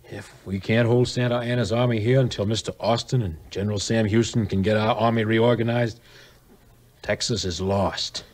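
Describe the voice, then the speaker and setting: Casting: male actor for ailing, solemn American garrison leader, lowish pitch, vintage 1950s record play. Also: fairly even intonation, moderate pace, darkish tone, lightly acted. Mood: grim, weary. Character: grave, ailing fort commander. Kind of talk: 1950s audio play acting.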